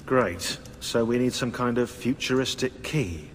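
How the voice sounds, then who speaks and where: An actor playing a sarcastic, excitable know-it-all historian in a video game, eagerly explaining. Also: male voice, medium pitch, unhurried, a little hiss or hum, close to an everyday voice. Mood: sardonic. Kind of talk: video game dialogue acting.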